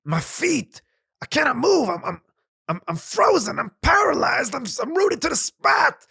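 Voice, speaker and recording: A man voicing a brutish male landowner who shouts and stammers in frantic panic. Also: extremely high pitch, wildly swooping pitch, unhurried, vocal fry, no echo, studio quality, pitched above the performer's own voice, heavily acted. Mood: panicked.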